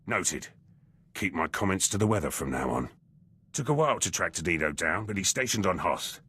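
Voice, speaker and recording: Gruff, husky, deep-voiced soldier. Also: male voice, lively intonation, moderate pace, a bit bright, exaggerated voice. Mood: dry, matter-of-fact. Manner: terse, clipped phrases that drop words. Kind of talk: video game character dialogue.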